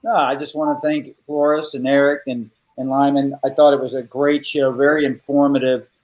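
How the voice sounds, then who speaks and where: A man's voice: medium pitch, level tone, moderate pace, darkish tone. A man co-hosting a panel show, speaking in a loose, rambling way with many restarts. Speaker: show co-host. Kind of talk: casual panel chat.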